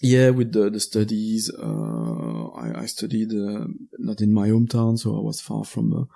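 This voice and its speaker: Gravelly Belgian French man, halting. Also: lowish voice, expressive, mellow. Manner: halting and searching for words. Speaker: guest podcaster. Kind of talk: casual conversational interview.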